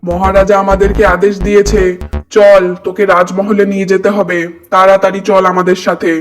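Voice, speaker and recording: A man's voice: high-pitched, monotone, fairly quick, faint music behind, lightly acted. A man voicing a dutiful, officious army commander in a children's fairy-tale cartoon, declamatory and commanding. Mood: stern, indignant.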